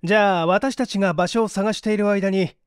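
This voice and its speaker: A man voicing a calm, self-assured gentleman detective in a story reading, measured and explanatory. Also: high voice, clean tone, dry recording, studio quality, pitched above the performer's own voice, lightly acted.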